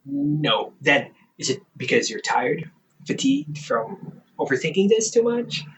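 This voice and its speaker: Caring, stubborn big brother, played by a young man, audio roleplay: unhurried delivery, animated melody, softly teasing and hesitant. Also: fairly high pitch, heavily acted, rough recording. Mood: probing, concerned. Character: caring, teasing older brother, around twenty, gently stubborn. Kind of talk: intimate audio roleplay, one-sided conversation.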